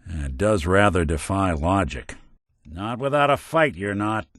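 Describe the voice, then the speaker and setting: A man's voice: low voice, animated, a little slow, fairly bright tone, no echo, full character voice. An actor playing a world-weary male synth detective in a video game, delivering dry, sardonic quips in a noir drawl. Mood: wry. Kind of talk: video game companion voice lines. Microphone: close studio mic.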